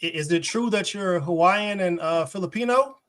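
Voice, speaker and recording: A man with a notably high voice co-hosts a casual interview. He phrases his questions loosely, with playful slang. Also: normal speed, fairly bright tone. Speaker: co-host.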